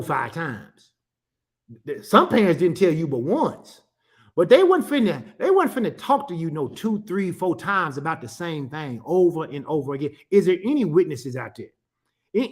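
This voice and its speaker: A pastor in his fifties with a drawl teaches his listeners in a lively voice that climbs and falls a lot. He repeats key phrases for emphasis and keeps calling out to his audience. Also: sits high. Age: 50s. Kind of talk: teaching talk to an online audience.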